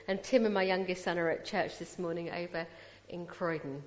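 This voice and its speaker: A woman's voice: fairly low pitch, normal speed, darkish tone. A woman in her forties from Punjab, India, with a British English accent, giving a chatty personal testimony as a guest speaker at church and teasing the audience to react. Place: church hall, indoors. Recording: long reverb of a large hall.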